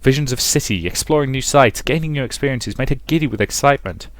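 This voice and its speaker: A man's voice: mid-range voice, quick pace, dry recording. A man narrating a visual novel playthrough, reading the story aloud and adding casual commentary, with a rambling way of talking. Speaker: let's player and narrator.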